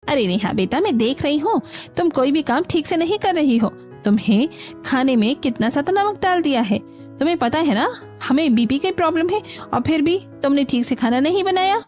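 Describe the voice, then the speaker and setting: A woman's voice: high-pitched, lively intonation, normal speed, darkish tone, soft background music, voice pushed up. A woman voicing a demanding, scolding elderly female houseguest in a cartoon story, bossy and full of complaints.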